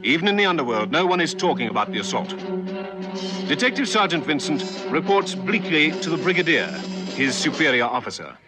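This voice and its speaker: An actor as the grave, formal narrator of a 1960s radio police serial. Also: male voice, on the high side, sing-song, brisk. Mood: grave, suspenseful. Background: dramatic music.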